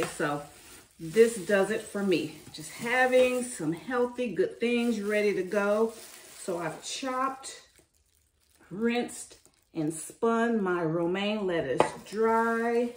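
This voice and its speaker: A woman with a mid-pitched voice talks slowly and chattily to her viewers while she preps meals. She sounds set back from the mic in an echoey home kitchen, with a little kitchen clatter behind her. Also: recorded from a distance, slight background noise. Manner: homey and chatty, talking to viewers as she works. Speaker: cooking channel host. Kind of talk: casual meal-prep chat while cooking.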